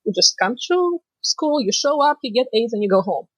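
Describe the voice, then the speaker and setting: A woman from Moldova with a Russian accent, native Romanian speaker, telling her story as an interview guest with lively storytelling. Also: a little high, brisk, no echo, rough recording. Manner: lively storytelling, laughs easily. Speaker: guest, financial planner. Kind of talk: casual interview chat.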